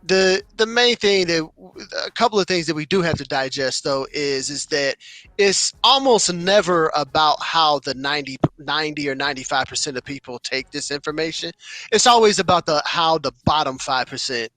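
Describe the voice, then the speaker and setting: Bright-voiced man musing on a podcast. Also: a little high, no room sound, low-quality recording. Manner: measured, thinking aloud with many fillers. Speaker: podcast co-host. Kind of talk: casual podcast discussion.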